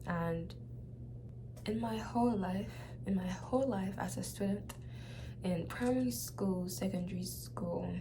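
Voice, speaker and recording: A young woman with a Nigerian accent shares a personal testimony in a casual, halting way, often repeating phrases for emphasis. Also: lowish voice, background noise. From Nigeria (Lagos). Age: young adult. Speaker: vlogger.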